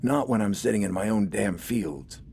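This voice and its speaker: A man's voice: on the low side, expressive, moderate pace, gravelly, a little mellow, a little hiss or hum, pitched above the performer's own voice. A man voicing a wry, weary older farmer turned militiaman, stubbornly outspoken in a folksy, grumbling way. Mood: weary defiance. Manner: folksy, grumbling, plain-spoken.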